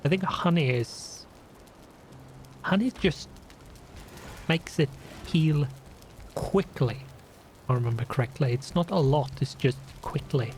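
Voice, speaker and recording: A man with a Scandinavian accent, sniffly from a runny nose, talking slowly through a game he plays. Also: a little high, darkish tone, slight background noise. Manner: slow, deliberate, with restarts and fillers. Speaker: gaming channel host. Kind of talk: casual let's play commentary.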